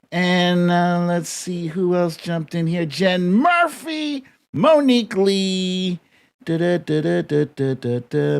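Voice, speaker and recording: An American livestream host chatting casually, greeting viewers in a playful, slangy, informal way. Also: medium pitch. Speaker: host of a live online show. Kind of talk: casual livestream chat with shout-outs to viewers.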